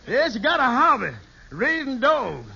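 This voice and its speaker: An actor playing an excitable, girl-chasing shady lawyer in an old radio sitcom, spinning tall tales with folksy grammar and chuckles. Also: extremely high pitch, measured pace, gravelly, crowd noise, full character voice. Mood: gleeful. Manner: chuckles through his stories, folksy nonstandard grammar. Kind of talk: 1950s radio sitcom acting. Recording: muffled, noisy old radio recording.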